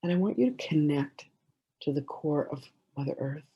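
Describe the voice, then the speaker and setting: A woman leading a guided meditation in a gentle, soothing way, giving slow instructions with many pauses. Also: deep voice, expressive, slightly rough, muffled recording.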